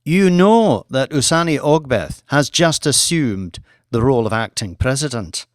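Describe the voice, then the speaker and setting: A man's voice: medium pitch, animated, a little slow, dry recording, studio quality, close to an everyday voice. A man voicing a firm, formal British woman diplomat in an audiobook. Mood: grave, matter-of-fact. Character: proficient, wary female British diplomat, firm, professional.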